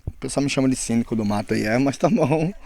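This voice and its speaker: A man's voice: medium pitch, fast talker, a little mellow. A man, a nature guide, talking in an easygoing, chuckling way in an interview. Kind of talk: interview answer.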